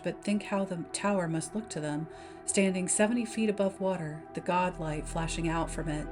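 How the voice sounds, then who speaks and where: A woman's voice: lowish voice, natural voice. A woman voicing a brooding, talkative male lighthouse keeper, musing in a folksy way as part of a story reading. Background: background music.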